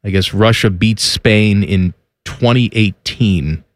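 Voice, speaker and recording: A man's voice: fairly low pitch, slow pace, no room sound. A man co-hosting a casual podcast chat with dry, teasing humor. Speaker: podcast co-host.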